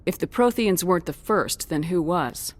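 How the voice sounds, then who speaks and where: An actress playing a tough, direct human military commander in a video game, blunt and matter-of-fact. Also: female voice, on the low side, animated, normal speed. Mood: direct, questioning. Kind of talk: scripted video game dialogue.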